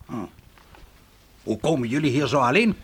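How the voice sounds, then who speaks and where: Bright-voiced, brusque frontier trapper. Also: male voice, mid-range voice, expressive, moderate pace, breathy edge. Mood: gruff, questioning. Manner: brusque, urgent, giving orders. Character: gruff, commanding frontier trapper, grown man. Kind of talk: Dutch radio play acting.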